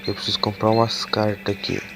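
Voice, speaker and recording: A man with a cold and a hoarse voice, talking casually as he opens card packs for a gaming video, cursing and getting excited over the cards. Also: on the low side, fairly quick, background noise. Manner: casual and swearing, excitable when cards are revealed. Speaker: gaming YouTuber. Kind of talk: casual gameplay commentary. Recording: game music playing behind the voice.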